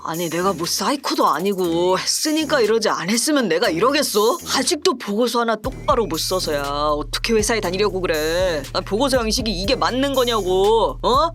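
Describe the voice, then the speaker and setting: An actor playing an arrogant, bullying assistant manager in an audio drama, with a condescending, scolding, boastful delivery. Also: male voice, sits high.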